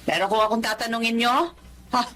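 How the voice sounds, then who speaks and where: A woman's voice: a little high, steady tone, a little fast, a bit bright. A woman acting a skeptical, judgmental confidante in a Filipino radio drama, disapproving, with a Filipino accent. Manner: doubtful, disapproving.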